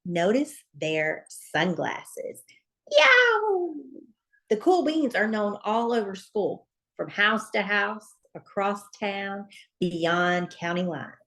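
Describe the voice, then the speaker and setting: A woman storyteller reads a children's book aloud. Her delivery is slow and deliberate, with very lively swings in pitch. She phrases dramatically and leaves emphatic pauses. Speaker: storyteller, school principal reading aloud. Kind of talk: expressive children's picture-book read-aloud.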